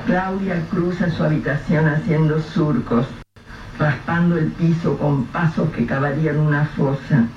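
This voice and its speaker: Male Rioplatense narrator for a radio play, measured storytelling, dull sound with faint hiss. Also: a little high, fairly even intonation, echoey room, recorded from a distance. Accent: Rioplatense Spanish.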